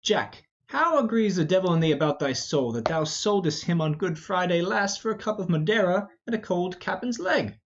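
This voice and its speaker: A man voicing a cheeky, scheming young highwayman, jaunty and persuasive, in an amateur Shakespeare reading. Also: expressive, moderate pace, few pauses. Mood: mocking. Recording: dull, lo-fi.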